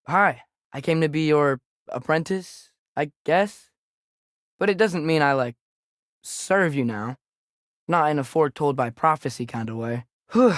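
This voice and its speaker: A man's voice: on the high side, dry recording, clean studio sound, natural voice. A young male actor playing an earnest, restless teenage boy adventurer with an American accent in a video game. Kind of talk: video game character dialogue.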